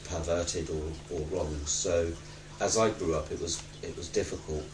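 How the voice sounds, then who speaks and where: Very deep-voiced man, measured and halting. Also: steady tone. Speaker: charity worker in an LGBT documentary interview. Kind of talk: documentary interview talk. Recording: roomy echo, distant mic, clear background noise.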